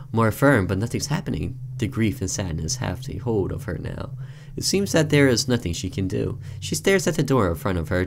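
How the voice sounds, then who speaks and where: A man's voice: fairly low pitch, background noise. A man hosting a gaming video, reading a visual novel aloud and commenting casually.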